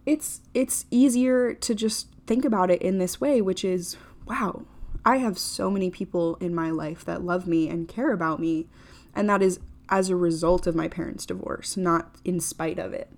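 A woman's voice: fairly low pitch, normal speed, darkish tone. A woman of twenty hosts her own podcast in a chatty, upbeat manner, full of fillers and self-deprecating asides. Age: 20s. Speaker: podcast host. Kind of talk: casual solo podcast monologue.

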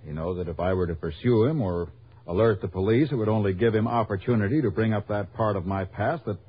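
Husky, hesitant, anxious ex-convict. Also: male voice, lowish voice, quick pace. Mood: earnest, urgent. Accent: American. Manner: hesitant, genteel, earnest. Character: anxious reformed ex-convict robbery victim, proper and evasive. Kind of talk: 1960 radio drama acting. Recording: muffled old radio recording.

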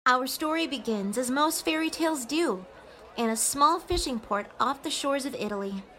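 Woman playing a gracious, high-voiced mermaid sea spirit. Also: expressive, moderate pace, sharp tone, a faint crowd, poor audio. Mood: solemn storytelling. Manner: gracious, theatrical, addressing listeners as guests. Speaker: storyteller. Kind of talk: scripted fairy-tale narration in character. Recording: faint background noise and ambience.

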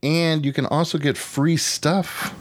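A man co-hosting a podcast in casual chat, often halting and thinking aloud as he goes. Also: a little high, steady tone. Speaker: podcast host.

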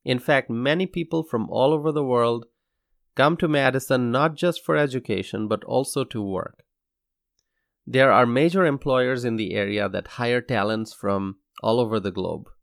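A young man from Bangladesh hosting his own podcast, speaking in an earnest, measured scripted monologue. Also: medium pitch, vocal fry, no echo, studio quality. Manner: measured, earnest, slow deliberate delivery. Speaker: podcast host.

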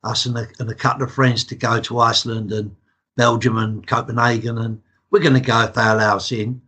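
Husky English man telling old stories. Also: mid-range voice, brisk. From England. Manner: chatty storyteller, relaxed and matter-of-fact. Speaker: podcast guest. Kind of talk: casual interview chat.

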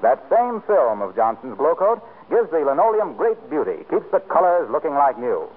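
A man's voice: fairly high pitch, sing-song, normal speed, breathy edge. A man announcing scripted commercials and credits in polished 1940s radio style. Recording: narrow-band 1940s radio recording, faint hiss.

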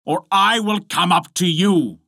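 A man voicing a cruel, commanding husband from a storybook, with imperious, drawn-out, emphatic orders. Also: medium pitch, sing-song, a bit bright, breathy edge, no room sound, studio quality, full character voice. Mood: bellowing impatience.

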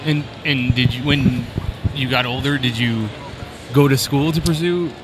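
A man's voice: medium pitch, fairly even intonation, noisy background. A man hosting a casual on-location interview, repeating words as he forms his questions. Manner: halting, repeats words while forming questions. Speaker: interviewer, host. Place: busy convention floor.

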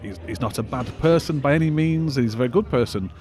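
A man's voice: mid-range voice, fast talker, a little mellow. A male podcast host chatting wryly about a film, then reading the show's closing credits. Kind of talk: casual film chat and scripted outro.

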